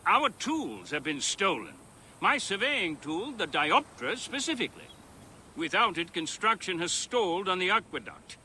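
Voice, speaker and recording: Expressive, bright-voiced Roman architect. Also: male voice, sits high, a little hiss or hum, heavily acted. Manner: theatrical, eloquent, formal. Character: earnest, educated Roman male architect, past his youth, conscientious. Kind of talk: video game cutscene dialogue.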